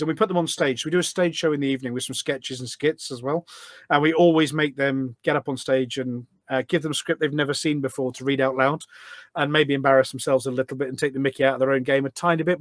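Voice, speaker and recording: A man's voice: mid-range voice, steady tone, quick pace, no room sound, studio quality. A British man chatting informally as a podcast guest, with an easygoing, self-deprecating way of talking. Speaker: podcast guest, community event organiser. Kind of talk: casual chat. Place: office.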